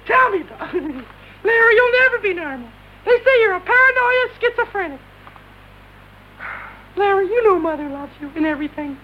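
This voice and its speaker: A man voicing a fretful old mother in a shrill, sobbing falsetto with theatrical, overwrought pleading on a comic spoken-word record. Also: big pitch swings, normal speed, pauses often, slight background noise. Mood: doting. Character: wailing, fretful old mother, always crying out. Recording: dull lo-fi record airplay.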